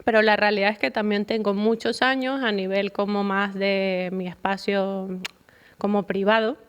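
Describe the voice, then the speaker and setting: A woman with a Venezuelan accent speaks as a podcast guest, a psychologist in relaxed conversation. She thinks aloud as she goes, with frequent fillers and pauses, her voice sitting at a medium pitch for a woman. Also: clear, resonant tone.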